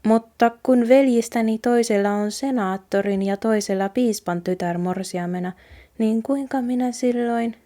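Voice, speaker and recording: A woman reads a humble young man from a storybook folk tale. He sounds downcast and hesitant, with a soft mellow tone. The delivery is gentle and unsure. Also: fairly high pitch, voice pushed up, natural voice. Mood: glum.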